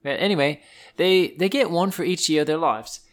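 A man's voice: on the high side, normal speed. Playful man telling animal stories. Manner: playful, theatrical put-on accent. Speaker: host of a children's animal podcast. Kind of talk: informal storytelling narration for listeners. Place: indoors beside a wood stove.

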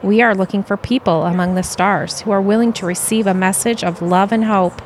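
A woman's voice: mid-range voice, fairly even intonation, fairly quick, slight background noise, natural voice. A woman playing an earnest, serene alien envoy in an audio play, with stilted, formal phrasing.

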